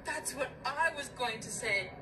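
A woman's voice: high voice, normal speed, over loud music, close to an everyday voice. An actress playing an anxious, earnest young policewoman in a horror video game, with stilted line readings. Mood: shaken.

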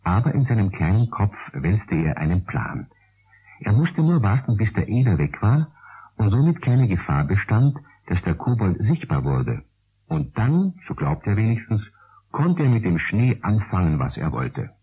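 An actor narrating a children's radio play as a calm, expressive male storyteller. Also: on the low side, normal speed, slightly rough, heavily acted. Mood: storytelling. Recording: muffled, phone-like old radio recording.

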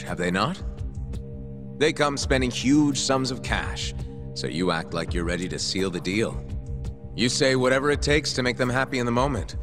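A man's voice: mid-range voice, close to an everyday voice. A man playing an abrasive, hot-tempered prosecutor in a video game, speaking in a cutting, formal, pointed way. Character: abrasive, accusatory male prosecutor, hot-tempered and self-righteous. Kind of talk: English-dub video game cutscene acting.

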